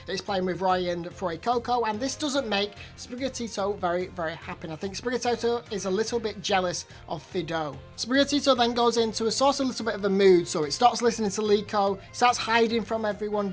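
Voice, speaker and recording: A woman hosting an anime review channel, chatting casually through an episode recap while her voice is slightly off from hay fever. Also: mid-range voice. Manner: chatty recap with repeated words.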